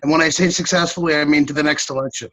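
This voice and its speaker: A man's voice: fairly high pitch, fairly even intonation, racing, fairly bright tone, muffled recording. A man from the audience casually asking a question in everyday words.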